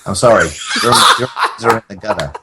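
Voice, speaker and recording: A man with a husky voice chatting as a podcast guest, telling rambling comic stories in halting, mock-formal, wordy phrasing. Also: on the low side, heavy background noise. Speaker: podcast guest, audio drama creator. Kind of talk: comic casual chat, rambling storytelling.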